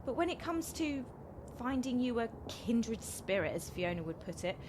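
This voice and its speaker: A woman's voice: a little high, very animated, normal speed, noisy background. A British actress playing a flighty, good-hearted woman in a radio play, speaking frankly and reassuringly. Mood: kind, frank.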